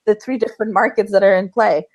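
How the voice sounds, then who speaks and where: A woman with a Costa Rican accent, a guest in a casual livestream interview, bubbly and quick to laugh. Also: medium pitch, fairly even intonation, a little mellow. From Costa Rica. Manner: bubbly, laughs often, bilingual.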